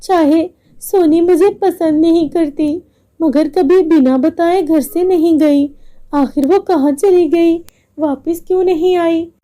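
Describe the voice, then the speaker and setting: A woman's voice: very high voice, slow pace, dark tone, pitched above the performer's own voice. A woman voicing a good-hearted young woman in a story, an earnest and caring elder sister. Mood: worried.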